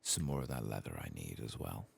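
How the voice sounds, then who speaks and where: A man with a gravelly, husky voice talking softly as he hosts an ASMR video game playthrough, at times drifting into whispers. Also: extremely low pitch, lively intonation, fairly quick, slight background noise. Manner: soft-spoken, murmuring, drifting into whispers. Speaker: host playing a video game for an ASMR channel. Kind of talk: soft-spoken ASMR game playthrough, reading quests aloud.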